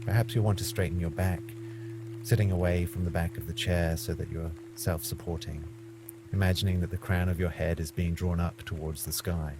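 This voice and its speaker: Deep-voiced man guiding a meditation over music. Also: fairly even intonation. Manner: measured, gentle instructing with frequent pauses. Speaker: meditation guide. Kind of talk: guided mindfulness meditation.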